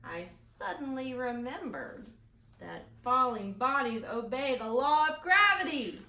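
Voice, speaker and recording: A woman's voice: high-pitched, animated, a little slow, pushed and bright, full character voice. A woman voicing a storybook schoolboy who spins tall-tale excuses in an earnest, dramatic way. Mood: earnest, pleading. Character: excuse-spinning schoolboy telling tall tales in a picture book. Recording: roomy echo, some background noise.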